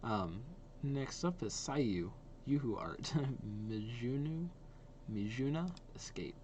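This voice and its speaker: A man's voice: mid-range voice, animated, takes it slowly, a little mellow, a little hiss or hum. A young man giving casual, enthusiastic reaction commentary as a video host. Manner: enthusiastic, repetitive praise. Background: faint music.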